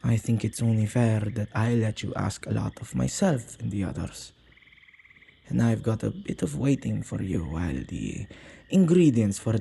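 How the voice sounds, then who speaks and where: A man playing a possessive yet soft-hearted male witch in an intimate ASMR roleplay, speaking gently and haltingly in slightly archaic phrasing. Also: a little hiss or hum. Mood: gentle, apologetic. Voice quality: low, husky, creaky voice.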